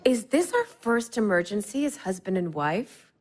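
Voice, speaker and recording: An actress playing an anxious, sharp-tongued young wife who swears bluntly in an audio drama. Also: female voice, medium pitch, sing-song, normal speed. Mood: frantic, snappish. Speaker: newly married wife.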